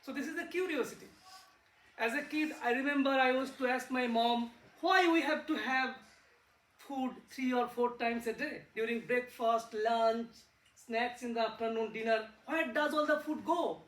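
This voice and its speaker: A man's voice: very high-pitched, normal speed, fairly bright tone. Indian-accented male researcher, friendly classroom talk, echoey room, far mic. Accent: Indian English. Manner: simple words, asks the kids questions, repeats words for emphasis. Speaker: physics researcher giving a talk to children.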